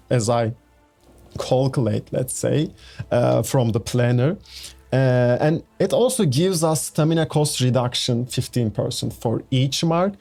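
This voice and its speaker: Unhurried gaming host, low-key. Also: male voice. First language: Turkish. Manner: relaxed, rambling, restarts sentences, repeats "let's say" and "you know what I mean". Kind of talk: casual game build walkthrough.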